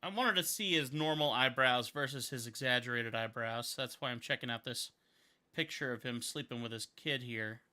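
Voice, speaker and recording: Bright upbeat man in his thirties. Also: medium pitch, normal speed. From Montana. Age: 30s. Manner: rambling, upbeat, thinks aloud, often restarts. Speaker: caricature artist and videographer. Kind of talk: casual livestream chat while drawing.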